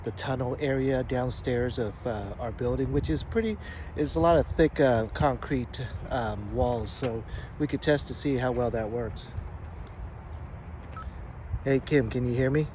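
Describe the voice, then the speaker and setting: A man's voice: medium pitch, a little slow, a little mellow, a little hiss or hum. A man with a mid-range voice talks through a gear review for a vlog. His delivery is casual and informal, explaining things plainly as he goes. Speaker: product reviewer.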